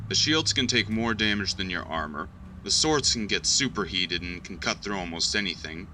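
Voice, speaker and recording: A man playing a gruff, matter-of-fact navy engineer in an audio drama. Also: low voice, close to an everyday voice. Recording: clear background noise and ambience.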